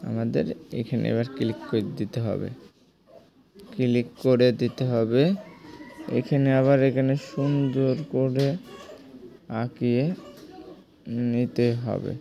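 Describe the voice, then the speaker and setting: A man's voice: mid-range voice, steady tone, slow, creaky, pauses often, warm tone, lo-fi sound. A man who teaches cartoon animation talks viewers through a drawing step by step, repeating himself and checking that they follow. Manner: repetitive, coaxing, addresses viewers directly. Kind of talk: screen-recorded drawing lesson.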